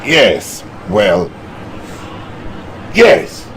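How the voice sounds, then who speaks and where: An actor playing a genial but wavering Soviet premier in a video game, with a Russian accent and a theatrical, halting delivery that swells into proclamations. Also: male voice, lowish voice, huge pitch swings, fairly bright tone, slight background noise, exaggerated voice. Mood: hesitant.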